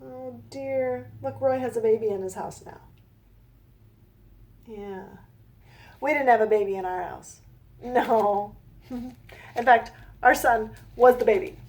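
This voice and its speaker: A woman author reading her children's book aloud in a gentle sing-song manner, with chatty asides to young listeners. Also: a little high, slow, pauses often.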